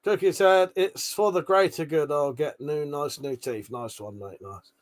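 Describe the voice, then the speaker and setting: A man with a British accent and a voice a little on the high side hosts a casual livestream. He rambles informally, swears freely, and moves between reading comments aloud and replying to them. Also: unhurried. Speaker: livestream host.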